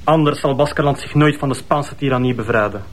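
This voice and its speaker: An actor playing a wary, uneasy Basque militant in a TV crime drama. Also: male voice, mid-range voice, fast, natural voice.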